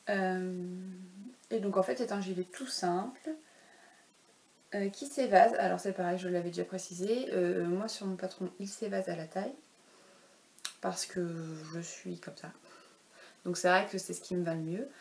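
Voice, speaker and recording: A woman's voice: a little high, gravelly, darkish tone. Chatty woman with many hesitations. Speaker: knitwear designer presenting her work. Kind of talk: casual knitting podcast chat. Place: home, in daylight.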